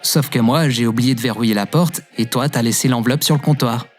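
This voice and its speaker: A kind-hearted young shop worker, played by a male actor, talks in an everyday, medium-pitched voice. It is audio drama acting with soft music underneath. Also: fairly quick, close to an everyday voice. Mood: reassuring.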